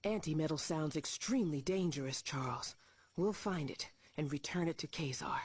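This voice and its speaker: A man playing a grave, dignified mutant commander with a husky voice in a video game. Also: fairly high pitch, animated, normal speed. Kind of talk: video game cutscene acting.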